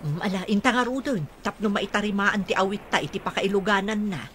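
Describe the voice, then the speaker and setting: With clear noise behind, an actress plays an older village wife in a radio drama. She sounds practical and teasing, mid-pitched, with an Ilocano accent. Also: quick pace, fairly bright tone, lightly acted. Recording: clearly noisy background.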